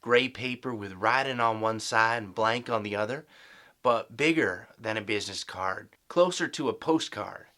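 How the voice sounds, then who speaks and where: Man as an earnest, bright-voiced teen athlete. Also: lowish voice, normal speed. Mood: sincere. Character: earnest, polite teenage high school football player. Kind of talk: audio drama acting.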